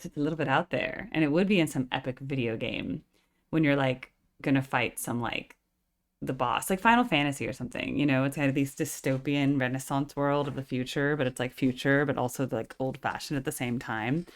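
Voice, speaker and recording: Woman in her forties hosting a reaction channel: a low, full, operatically trained voice and loose chatter studded with "like". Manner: chatty, constant filler "like". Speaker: music reaction channel host. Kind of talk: casual reaction chat.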